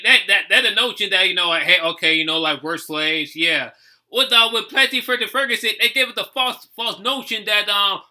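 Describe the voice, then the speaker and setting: An American man giving casual, unscripted reaction commentary, halting and emphatic, with some swearing. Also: high-pitched, bright, forceful tone. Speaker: reaction video host.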